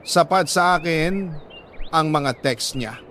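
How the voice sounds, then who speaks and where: Male Filipino storyteller, slightly high voice, sentimental dramatic narration with pauses, some noise behind. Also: pushed and bright. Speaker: radio-style story narrator reading a listener's letter. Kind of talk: dramatic scripted first-person narration of a love story.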